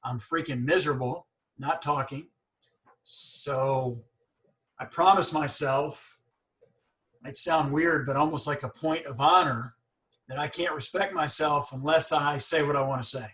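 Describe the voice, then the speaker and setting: A man in his forties hosts a casual interview podcast. He stutters openly, with blocks and repeated sounds, but speaks with earnest candor. His voice sits a little higher than most men's. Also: fairly even intonation, unhurried, a bit bright, poor audio. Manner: candid and earnest. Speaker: podcast host.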